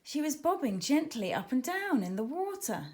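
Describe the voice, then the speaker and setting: A woman reading a children's book aloud in an expressive, dramatic storytelling manner, as scripted narration for young listeners. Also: high voice, normal speed. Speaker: author reading her own children's book.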